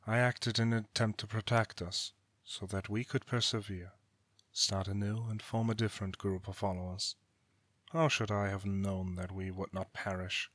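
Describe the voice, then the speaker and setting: Casting: cold, proud immortal brother played by a man, lowish voice, dry close sound, formal and disdainful. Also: a bit bright, dry recording, close to an everyday voice. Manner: formal, haughty, measured rhetoric. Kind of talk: scripted audio roleplay drama acting.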